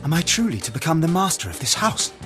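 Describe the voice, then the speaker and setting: Earnest young Sith heir. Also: male voice, a little high, very animated, fast, music in the background. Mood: eager, awed. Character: ambitious young nobleman, Sith heir eager for power. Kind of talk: video game voice acting.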